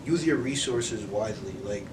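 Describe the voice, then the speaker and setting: A man's voice: moderate pace, recorded from a distance. A young man answering as a music industry guest in a casual interview, earnest and reflective, circling back over his phrases. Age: young adult. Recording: roomy, off-mic, noisy with low rumble.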